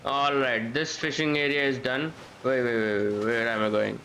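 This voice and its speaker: A man's voice: mid-range voice, steady tone, moderate pace, pushed and bright, a little hiss or hum. A man giving casual live gameplay commentary as a streamer, thinking aloud in a rambling way and repeating phrases. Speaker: game streamer. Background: game music and character voices.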